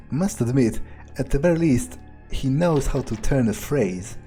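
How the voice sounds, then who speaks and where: Slow, mellow man musing in character. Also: medium pitch, lively intonation, soft background music. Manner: sardonic, hesitant musing, casual swearing. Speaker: gamer playing in character. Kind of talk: in-character video game playthrough, musing aloud.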